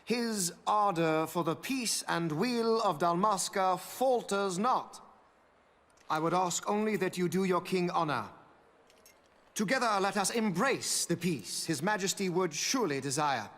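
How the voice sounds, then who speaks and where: An actor playing an eloquent, calculating imperial consul, speaking in a stately, formal, theatrical manner for a video game. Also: male voice, high voice, animated, fairly bright tone. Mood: solemn, rousing. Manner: stately, eloquent, measured oratory. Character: smooth, regal adult male imperial consul, eloquent and calculating.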